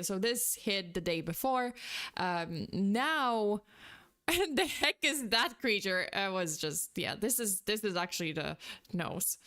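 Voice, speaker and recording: Woman, Polish accent, smooth clear voice, loose rambling commentary style. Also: fairly low pitch, fairly bright tone. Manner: rambling, laughs often, many fillers. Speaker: VTuber commentator. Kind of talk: casual commentary.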